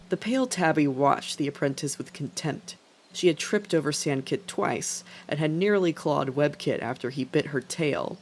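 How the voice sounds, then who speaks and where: A narrator reading scripted fiction as the storyteller of an animal tale. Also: mid-range voice. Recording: faint background noise.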